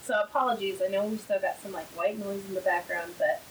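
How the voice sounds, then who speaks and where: A woman co-hosting a casual podcast from home on a cheap mic, chatty and quick to laugh. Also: mid-range voice, fairly even intonation, slight background noise, poor audio. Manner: chatty, laughs easily, self-deprecating. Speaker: podcast co-host. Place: home, dog nearby. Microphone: cheap microphone.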